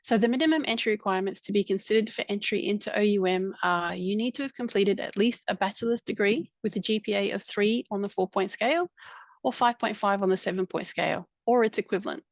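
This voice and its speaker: A woman's voice: mid-range voice, fast talker, dry recording. A woman working as an admissions counsellor, explaining entry requirements in a friendly, plain way over a video call.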